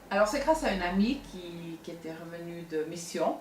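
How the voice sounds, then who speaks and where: A woman's voice: on the low side, animated, moderate pace, a little mellow. A woman in her sixties speaks in a testimonial interview. Her voice is picked up from a distance in an echoey room. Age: 60s. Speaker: nursing assistant, volunteer. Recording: roomy, distant mic, faint noise.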